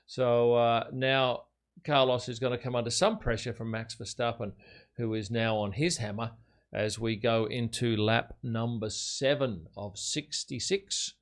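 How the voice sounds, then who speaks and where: A man's voice: mid-range voice, fairly even intonation, measured pace. An older Australian man hosting a casual livestream, chatting and commenting on a race in a matey, friendly way. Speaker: livestream host.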